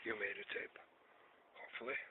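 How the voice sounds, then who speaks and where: A man in his seventies talking to camera in a rambling way, repeating himself and getting louder when he asks questions. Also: fairly quick. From United States. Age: 70s. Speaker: vlogger. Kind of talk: rambling casual talk to camera. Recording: tinny lo-fi sound with faint hiss.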